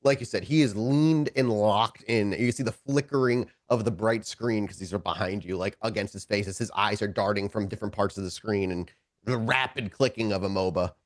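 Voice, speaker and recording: A man acting as game master, narrating an improvised tabletop story in a casual, descriptive way with animated asides. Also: fairly low pitch, brisk, dry recording.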